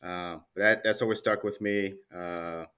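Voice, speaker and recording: Low, bright-voiced man. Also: measured pace, clean tone. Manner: relaxed, many fillers and restarts. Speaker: Army career manager guest. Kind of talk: casual interview chat.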